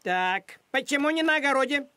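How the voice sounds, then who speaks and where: An actor voicing a bossy, fussy talking farm cat in an animated cartoon, with a commanding, nagging, excitable manner. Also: sits high, fairly quick, slight background noise. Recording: music behind the voice.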